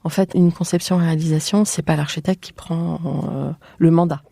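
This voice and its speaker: A woman's voice: low voice, fairly even intonation, normal speed, vocal fry, warm tone, husky, dry recording. A woman hosting a podcast, interviewing guests in a conversational style.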